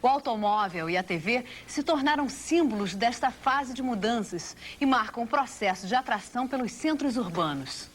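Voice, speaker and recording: A Brazilian woman narrates a documentary from a prepared script. Her voice sits somewhat high for a woman. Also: animated, normal speed, hardly any pauses, a little hiss or hum.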